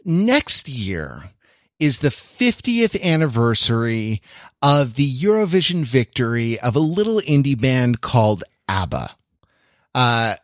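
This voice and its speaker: A man co-hosting a podcast, chatting casually in animated, rambling explanations. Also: medium pitch, slow pace, dry recording, clean studio sound. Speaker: podcast co-host. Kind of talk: casual panel discussion.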